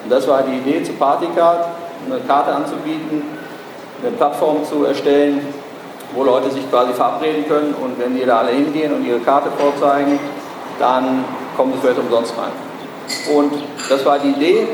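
A man's voice: mid-range voice, fairly even intonation, normal speed. Man giving a talk in an echoey hall. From Berlin, Germany. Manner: storytelling, frequent hesitations, wry asides. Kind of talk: anecdotal talk to an audience. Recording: echoey hall recording with noise.

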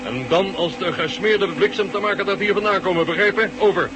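A gruff, impatient space marshal, played by a man snaps his orders into the radio in brusque bursts. The voice sits a little above the usual range for a man. Steady hiss runs under this old radio drama recording. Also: high-pitched, brisk, natural voice. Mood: scolding. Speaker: marshal piloting a space shuttle.

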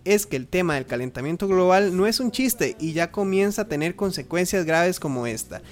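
A man hosting a radio show with informal news commentary, earnest and emphatic, in halting phrases. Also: high-pitched, expressive, soft background music.

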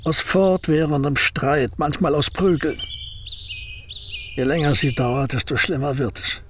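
A man's voice: a little high, steady tone, measured pace, background noise, natural voice. A man playing a bitter, gloomy old painter. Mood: gloomy, scornful. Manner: curt, dry and scornful. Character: gloomy aging male painter, bitter and sardonic. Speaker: actor in a radio drama. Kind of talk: radio drama acting.

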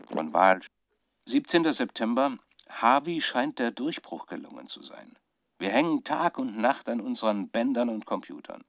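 A man's voice: medium pitch, sing-song, vocal fry, fairly bright tone, no echo, clean studio sound. An actor playing a male scientist who keeps a troubled, fervent spoken research diary in a radio drama, often searching for words. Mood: sober, reporting. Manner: halting, searching for words, dramatic shifts from musing to fervour. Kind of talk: radio drama monologue as dictated audio diary.

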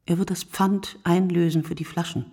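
An actress playing a weary, observant woman in a radio play monologue, speaking in measured, short phrases with a husky, breathy voice that often drops to near whisper. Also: on the low side, steady tone, dark tone, dry recording, studio quality. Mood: precise, observant. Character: weary, observant woman watching early-morning street from her window. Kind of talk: literary radio play monologue, scripted narration.

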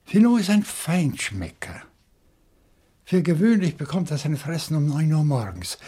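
An actor playing a shrewd, indignant professor turned amateur sleuth in a German radio crime play, reasoning theatrically step by step. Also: male voice, on the high side, big pitch swings, moderate pace, warm tone, husky. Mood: explaining, insistent.

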